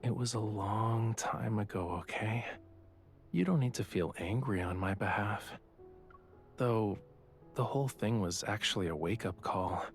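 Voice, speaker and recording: An actor playing a self-assured yet quietly vulnerable young artist in a romance game, speaking softly and intimately with a teasing touch. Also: male voice, on the low side, unhurried, faint music behind. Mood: gentle, coaxing.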